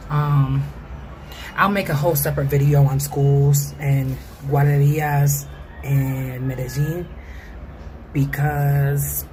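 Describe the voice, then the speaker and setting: A woman's voice: low voice, background noise. An American woman talking casually and informally, as a vlogger chatting to viewers in slangy, conversational speech. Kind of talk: casual chatty vlog monologue.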